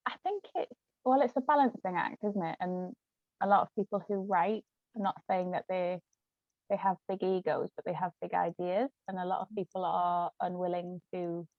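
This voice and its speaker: A woman's voice: mid-range voice, no room sound. A British woman being interviewed as a writer, chatting casually and tentatively, often checking she is understood. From United Kingdom. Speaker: co-author and ghostwriter being interviewed. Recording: thin phone-like sound.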